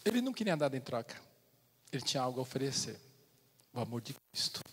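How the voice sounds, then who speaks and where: A man's voice: mid-range voice, big pitch swings, a bit bright, husky. Man in his fifties giving church testimony. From China. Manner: earnest, storytelling, pauses and restarts. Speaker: missionary speaker. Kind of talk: testimony sermon. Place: church sanctuary. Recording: long church hall reverb.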